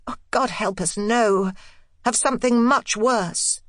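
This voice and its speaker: Woman playing an intense young governess, slow, emphatic. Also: fairly high pitch, a bit bright, no room sound, pitched above the performer's own voice. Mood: agitated. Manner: emphatic, broken phrases, urgent insistence. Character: intense, determined young governess, insistent and dramatic. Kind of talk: audiobook character dialogue.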